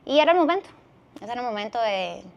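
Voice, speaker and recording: A woman's voice: a little high, animated, quick pace, gravelly, dull audio. A woman in her thirties with a Venezuelan accent, telling a personal story conversationally as a podcast guest.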